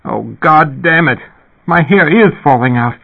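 Man voicing a distressed young woman, pitch raised. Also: animated, a little slow, vocal fry, slightly rough, full character voice. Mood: anguished. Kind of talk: audiobook character dialogue. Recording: narrow, phone-like band.

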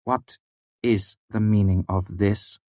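A man's voice: lowish voice, steady tone, takes it slowly, darkish tone, no echo, pitched above the performer's own voice. A man voicing a stern, commanding male mayor who barks his orders. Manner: barking, stern.

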